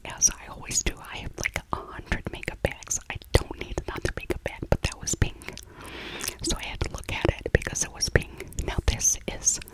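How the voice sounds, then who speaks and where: A middle-aged woman speaking in a breathy whisper, narrating an ASMR shopping voiceover in a rambling way and softly repeating her phrases. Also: low-quality recording. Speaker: ASMR video creator.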